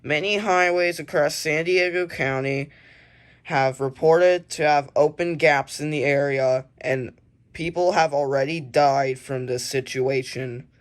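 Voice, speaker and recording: A man reads amateur mock emergency announcements in a halting, informal way, correcting himself and slipping into casual asides. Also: fairly high pitch. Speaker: narrator of a homemade emergency alert scenario.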